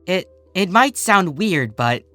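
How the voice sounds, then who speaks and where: A man puts on a kind, nervous female pony for a story. The voice lifts well upward with lively swings and hesitant delivery, music faint behind. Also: high-pitched, takes it slowly, voice pushed up, heavily acted. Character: anxious, kind-hearted female pony counselor.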